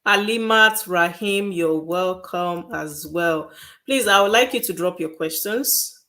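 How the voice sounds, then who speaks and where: A woman's voice: on the low side, sharp tone. A woman co-hosting a live-streamed conversation, agreeable and welcoming viewers by name. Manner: slow, agreeable, greets viewers. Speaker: co-host of a live session.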